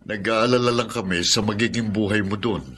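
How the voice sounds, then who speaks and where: Man acting a low-voiced, worried Filipino father. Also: steady tone, a little fast, a bit bright, slight background noise, lightly acted. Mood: worried, resigned. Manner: hesitant, deferential. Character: humble, worried father in debt. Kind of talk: Filipino radio drama acting.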